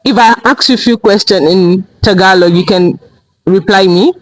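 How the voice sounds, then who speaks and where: A young man with a Nigerian accent leads a casual interview. His voice sits high, and he keeps his questions loose and chatty. The recording is dry, with no room sound. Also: normal speed, dry recording, low-quality recording. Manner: relaxed, chatty questions with frequent "like" fillers.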